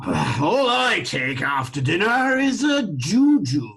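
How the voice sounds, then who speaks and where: A man playing a fussy, frail older gentleman in a staged reading of a Victorian farce. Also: high-pitched, sing-song, slow pace, breathy edge, full character voice. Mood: genial, fussy.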